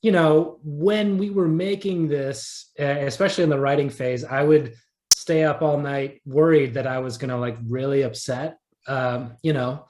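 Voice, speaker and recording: A man's voice: on the high side, fairly even intonation, moderate pace, darkish tone. Self-deprecating man in an echoey room. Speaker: writer, podcast guest. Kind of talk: casual interview chat. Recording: roomy, echoing voice.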